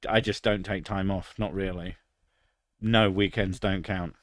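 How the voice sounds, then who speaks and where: A man's voice: low voice, fairly even intonation, measured pace, no echo, studio quality. A British man in his thirties chatting casually on a stream, crude and sarcastic, swearing freely. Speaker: streamer reading chat. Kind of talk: casual live stream chat.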